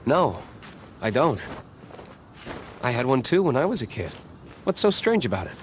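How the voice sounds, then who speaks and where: An aloof young male noble, acted by a man in an anime game dub. He is dry, terse and disdainful in a medium-pitched voice, with faint noise behind. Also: sing-song, moderate pace, lightly acted. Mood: matter-of-fact.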